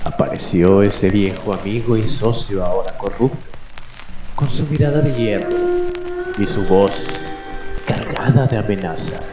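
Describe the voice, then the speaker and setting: Slow, low-pitched male narrator with echo. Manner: ominous, deliberate, halting pauses. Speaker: radio drama narrator. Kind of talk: scripted dramatic narration. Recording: echoey, music and rain behind.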